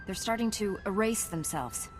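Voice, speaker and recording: An actress playing a calm, firm female knight in a video game, composed and resolute. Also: medium pitch, moderate pace, natural voice. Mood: focused. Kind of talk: video game cutscene acting. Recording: long hall reverb, faint music.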